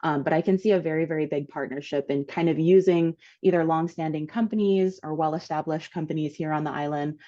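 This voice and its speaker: A low-voiced woman from Hawaii comes through dull, muffled video call audio. She asks a long, earnest question with a lot of appreciation, rambling as she goes. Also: steady tone, fairly quick, hardly any pauses, dark tone. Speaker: meeting participant.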